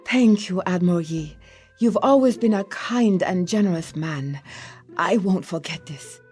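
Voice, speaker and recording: A woman's voice: fairly low pitch, measured pace, a little mellow, husky, soft background music. An actress playing a gracious, formal noblewoman in a video game, speaking politely. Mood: grateful. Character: gracious noble lady, a governor's wife, politely pleading. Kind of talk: scripted video game voice acting.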